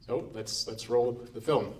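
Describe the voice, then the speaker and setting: A man's voice: mid-range voice, a little slow. Man, event host, friendly announcing, theatre, distant from mic. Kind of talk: live event hosting. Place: theatre with a live audience.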